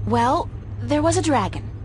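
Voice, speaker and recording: A woman's voice: high-pitched, animated, unhurried, darkish tone, a little hiss or hum. An actress playing a wry, thoughtful young female art student in a video game, talking in a conversational way. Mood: earnest, defensive. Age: young adult.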